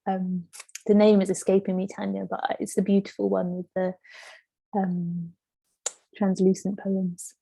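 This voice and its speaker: A woman's voice: mid-range voice, monotone, measured pace, vocal fry, dark tone, rough recording. A woman hosting an online event in an informal, chatty way. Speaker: host of an online book launch. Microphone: online video call.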